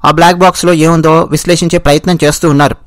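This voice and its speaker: A man's voice: a little high, level tone, rapid-fire, no echo. A man with a Telugu accent giving explanatory news commentary, restating and rephrasing his points as he goes.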